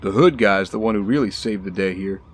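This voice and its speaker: A man playing a steady, loyal ex-soldier bodyguard in an audio drama, calm and measured. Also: fairly low pitch, natural voice. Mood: modest, reassuring.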